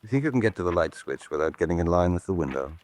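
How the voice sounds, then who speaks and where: A man playing a composed gentleman sleuth in a radio drama, terse, understated and commanding. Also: low voice, sing-song, very fast, no echo. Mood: tense, commanding.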